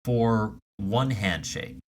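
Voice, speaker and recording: A man's voice: fairly low pitch, animated, very slow, slight background noise. A man co-hosting a comedy podcast, chatting in casual banter. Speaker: podcast co-host.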